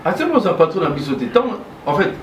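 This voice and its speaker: Halting man, far and echoey. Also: a little high, big pitch swings. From DR Congo. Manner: halting, mixes French and Lingala. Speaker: guest. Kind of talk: casual interview talk. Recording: echoey room, far mic, noisy.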